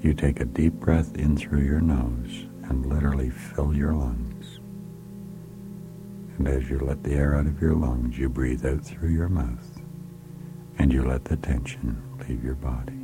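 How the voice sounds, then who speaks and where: Very deep, slow, hushed male guide. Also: steady tone. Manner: soft, hushed, often sliding into a whisper; slow, soothing, repetitive commands. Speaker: relaxation guide. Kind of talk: guided hypnotic relaxation. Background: music.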